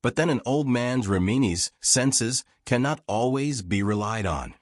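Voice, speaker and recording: A man's voice: medium pitch, lively intonation, measured pace, no room sound, studio quality, lightly acted. A man voicing a frank, wry old American war reporter reminiscing in folksy, self-deprecating audiobook dialogue. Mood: modest.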